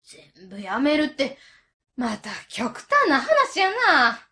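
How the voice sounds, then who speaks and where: High, lively Osaka-accented woman played by actress. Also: slow pace, heavily acted. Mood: blunt, surprised. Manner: blunt, exclaiming. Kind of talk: Japanese web radio drama acting.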